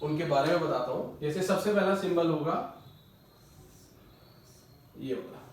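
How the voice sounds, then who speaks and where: A man's voice: fairly high pitch, a little slow, a little hiss or hum. Male tutor, patient classroom lecture, repeats key terms, very echoey, distant mic. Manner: explains patiently with everyday examples, repeats key words. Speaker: maths tutor. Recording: echoey room, mic far off.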